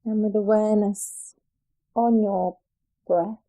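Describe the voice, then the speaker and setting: A woman with a dark, relaxed, mellow voice leads a guided relaxation. She sounds like a yoga teacher, soothing and gentle, leaving unhurried pauses between phrases. Her pitch sits in an ordinary middle range for a woman. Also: muffled recording.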